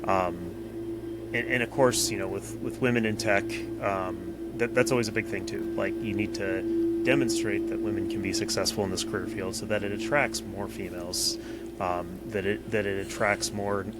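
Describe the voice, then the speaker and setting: A man's voice: fairly low pitch, steady tone, normal speed, loud music behind the voice. A man co-hosting a podcast, talking casually and rambling, with frequent restarts and "you know.". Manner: rambling, repeats words, says "you know" a lot. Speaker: podcast co-host. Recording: loud background noise.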